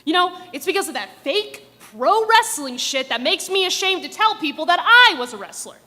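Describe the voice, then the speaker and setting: A woman's voice: very high-pitched, sing-song, normal speed, pushed and bright, exaggerated voice. A woman playing a harsh, scolding coach. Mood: furious. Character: furious strict wrestling coach. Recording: long hall reverb.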